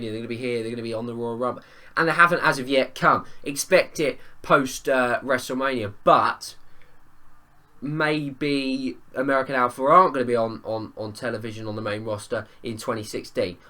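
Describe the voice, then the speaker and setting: A man talks through a wrestling event in a casual review video, his voice hoarse and worn from a cold. His pitch sits in an ordinary middle range. He rambles, doubles back and offers strong opinions with eager enthusiasm. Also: sharp tone. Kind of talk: casual spoken review vlog.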